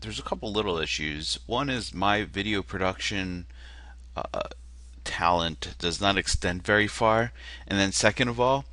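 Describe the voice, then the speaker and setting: Nasal low-voiced man talking through a page. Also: unhurried, sharp tone, no room sound. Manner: self-deprecating, hesitant with many fillers. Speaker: marketer critiquing a landing page. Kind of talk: casual screen-share walkthrough.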